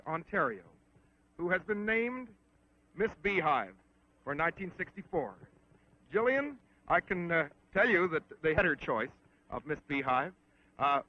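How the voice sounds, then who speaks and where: A man conducting a formal, polite sports interview on a 1960s film, with a slightly halting delivery. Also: sits high. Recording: muffled 1960s film soundtrack.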